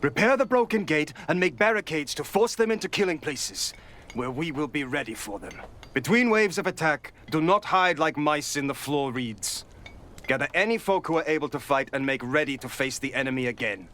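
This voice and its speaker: A man's voice: on the high side, lively intonation, moderate pace, a bit bright, slight background noise. A man playing a stern Norse war leader in a video game, giving orders in a commanding, declamatory way. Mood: resolute. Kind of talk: dramatic game acting.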